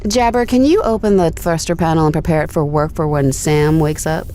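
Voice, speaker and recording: A woman's voice: low voice, a little fast, dark tone, slight background noise, close to an everyday voice. A woman playing a wry, restless young space explorer in a sci-fi audio drama, casual and teasing. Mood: wry, brisk.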